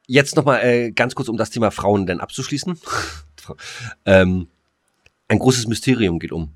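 A middle-aged man co-hosting a podcast in casual, joking chat, halting and repeating himself for emphasis. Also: on the low side, no echo, clean studio sound. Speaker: podcast co-host.

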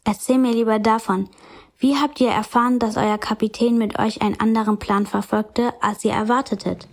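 A woman's voice: a little high, fairly even intonation, normal speed. Cold commanding noblewoman. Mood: stern. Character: stern noblewoman questioning the storyteller. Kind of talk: radio play acting.

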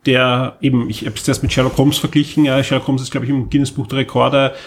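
A man's voice: medium pitch, little pitch movement, quick pace, a little hiss or hum. A man hosting a podcast in casual chat, speaking emphatically and often repeating words for stress. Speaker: podcast host.

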